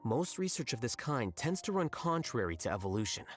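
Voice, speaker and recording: An actor playing an aloof, rational young scholar in a video game, speaking in a deadpan, precise and formal way. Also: male voice, medium pitch, brisk, over music, close to an everyday voice. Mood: dry, cutting. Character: aloof, blunt, rational young male scholar and acting sage.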